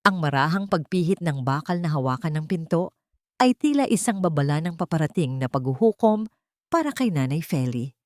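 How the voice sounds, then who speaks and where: A woman narrating a drama story in a slow, somber, suspenseful scripted manner. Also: sits low, very animated, dry recording, studio quality.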